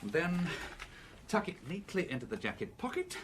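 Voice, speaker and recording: A man in a radio drama playing a cool-headed, commanding British gentleman schemer, brisk, wry and bossy. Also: a little high, sing-song, a little hiss or hum. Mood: wry, absorbed.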